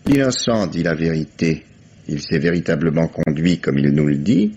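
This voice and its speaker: A deep-voiced calm police commissioner. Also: male voice, slightly rough, heavily acted. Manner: measured, dry ironic authority. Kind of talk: 1950s French radio drama acting. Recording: dull old lo-fi radio recording.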